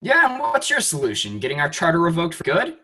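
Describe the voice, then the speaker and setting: A man's voice: a little high, wildly swooping pitch, a little fast, pushed and bright, full character voice. A man acting a flippant, hard-drinking theatre player in an audio play, sarcastic and bickering. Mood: exasperated.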